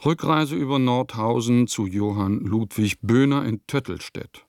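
A man's voice: mid-range voice, a little slow, a little mellow, dry recording, clean studio sound, natural voice. An actor playing an eccentric composer who reads his diary aloud in a radio play, in terse, old-fashioned literary German diction. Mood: dry, reflective.

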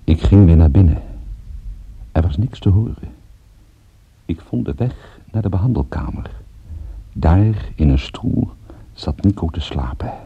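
A man's voice: deep voice, lively intonation, measured pace, long pauses, husky, phone-quality sound, exaggerated voice. An actor playing a tough, sardonic private detective in a radio play, commanding and dry in his delivery. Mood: dry narrating. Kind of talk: radio drama acting with first-person narration.

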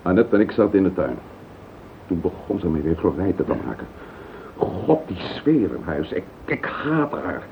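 A man acting a distraught, guilt-ridden husband in a radio play, speaking in a halting, emotional, self-justifying way. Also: deep voice, sing-song. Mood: haunted, troubled. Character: distraught husband confessing a murderous marriage, bitter and guilt-ridden. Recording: old tinny radio recording with hiss.

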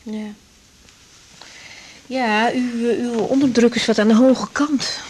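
A woman's voice: a little high, fairly even intonation, takes it slowly, darkish tone, a little hiss or hum. An actress playing a caring but easily indignant young woman doctor in a naturalistic radio drama, speaking with hesitant, broken phrases. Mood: concerned, businesslike. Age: young adult.

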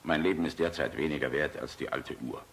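War-weary airman general, very deep bright voice, tender, wry, fatalistic, radio drama, hissy recording. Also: fairly quick, exaggerated voice. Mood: grave, subdued. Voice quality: very deep, gravelly. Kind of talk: German radio play acting.

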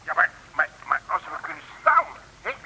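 High-pitched, blustering comic detective. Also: male voice, moderate pace, vocal fry, exaggerated voice. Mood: flustered relief. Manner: excitable, boastful, stammering repetitions. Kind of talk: 1960s comic radio serial acting. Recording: noisy old radio recording.

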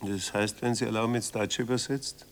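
A man's voice: lowish voice, steady tone, fairly quick, slight background noise, natural voice. An actor in a German radio drama playing a pedantic, condescending male official, officious and mock-polite.

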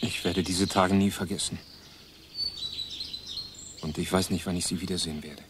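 Hesitant, low-voiced earnest youth played by a man. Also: steady tone, moderate pace, close to an everyday voice. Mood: wistful. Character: Frank, earnest, lovestruck, unsure teenage boy. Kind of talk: German radio play dialogue. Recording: noisy outdoor-like ambient sound behind.

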